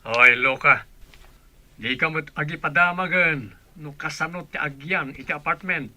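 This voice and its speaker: A man's voice: on the high side, moderate pace, sharp tone. A man playing a nosy, teasing bar co-worker in an Ilocano radio comedy drama, with an Ilocano accent.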